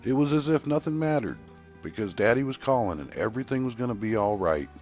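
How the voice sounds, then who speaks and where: A man's voice: medium pitch, animated, fast talker, a little mellow, faint music behind, voice pushed up, lightly acted. A man voicing a wistful woman who earnestly recalls her trucker father in an audio story. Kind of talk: acted dialogue in an audio story.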